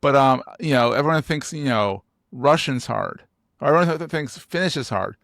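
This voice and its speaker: A man with a Philadelphia accent hosting a podcast interview, talking in an animated, joking way with emphatic repeats. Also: mid-range voice, moderate pace, gravelly, no echo, clean studio sound. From United States. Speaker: podcast host. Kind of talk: casual interview chat.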